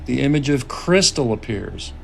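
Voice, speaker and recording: A man speaking as a writer-director and host, deliberate and pausing as he narrates or explains. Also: mid-range voice, big pitch swings, creaky, background noise. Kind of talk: scripted narration and reflective talk.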